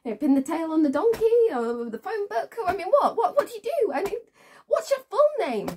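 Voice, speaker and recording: A woman acting a dramatic monologue as a bitter, wounded young woman, halting and repeating her phrases, with a breathy, husky voice. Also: a little high, slight background noise. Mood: mocking, agitated. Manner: halting, repeats phrases, swings between hushed and intense.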